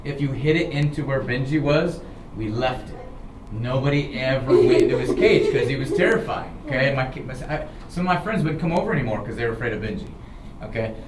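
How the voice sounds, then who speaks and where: An American man tells informal personal stories in an animated, dramatic way, often checking in with his listeners. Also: mid-range voice, a little fast. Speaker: storyteller, show host. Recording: roomy, distant, clearly noisy recording.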